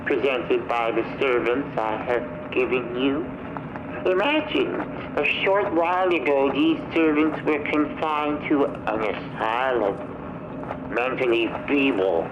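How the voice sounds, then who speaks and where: An actor playing a pompous, falsely gracious zealot inventor in a video game, preaching grandly to noble guests in archaic thee-and-thy speech. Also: male voice, mid-range voice. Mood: smug, unctuous. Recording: dull, noisy, radio-like playback of a recorded message.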